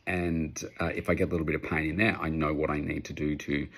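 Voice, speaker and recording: A man's voice: very deep voice, flat delivery, fast talker, fairly bright tone. A man in his fifties with an Australian accent, giving casual, unscripted advice as a personal trainer in a rambling, conversational way. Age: 50s. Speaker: personal trainer answering audience questions.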